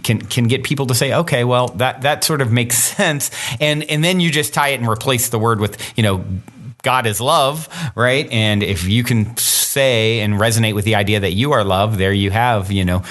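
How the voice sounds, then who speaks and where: Man, podcast host, reflective, musing, many fillers, mid-low pitch. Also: moderate pace, fairly bright tone. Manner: musing, full of "you know" fillers. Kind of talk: reflective spiritual conversation.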